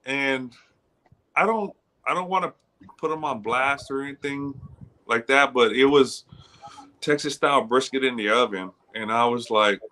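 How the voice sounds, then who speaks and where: A man with a bright, forceful voice chats casually on a podcast. His speech is loose and slangy, and he restarts sentences often as he goes. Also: mid-range voice, normal speed. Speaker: guest pitmaster.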